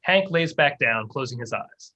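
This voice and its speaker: A man's voice: medium pitch, animated, normal speed, pushed and bright. A man reading scene headings and stage directions as scripted narration for a table read.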